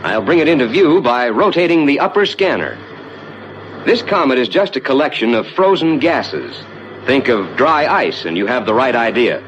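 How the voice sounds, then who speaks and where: An actor playing a calm, reassuring rocket ship captain who explains the flight to passengers in measured, courteous 1950s ride narration. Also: male voice, mid-range voice, highs cut off, close to an everyday voice. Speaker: spaceship captain and tour narrator. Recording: noisy vintage 1950s ride soundtrack.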